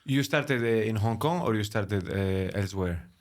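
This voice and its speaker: A man hosting a podcast, chatting with his guest in a friendly, teasing way, with halting phrasing. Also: on the low side. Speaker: podcast host. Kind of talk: casual interview chat.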